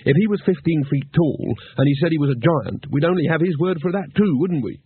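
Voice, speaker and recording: A man's voice: fairly high pitch, lively intonation, fairly quick, pitched above the performer's own voice, exaggerated voice. A man voicing an irritable, weary male wizard who snaps in exasperation. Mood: exasperated. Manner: exasperated, snapping. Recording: husky, narrow phone-like sound.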